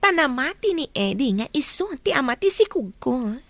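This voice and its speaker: A woman's voice: high voice, very animated, moderate pace, no room sound. A woman playing a smug, bitter pregnant mistress in an Ilocano radio drama. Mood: pleased, smug.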